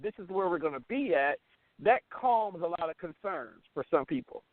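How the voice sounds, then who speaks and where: Tinny-sounding, higher-voiced American man talking candidly. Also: expressive, moderate pace, dry recording. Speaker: radio talk show host. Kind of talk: casual candid talk. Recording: tinny, phone-like band-limited audio.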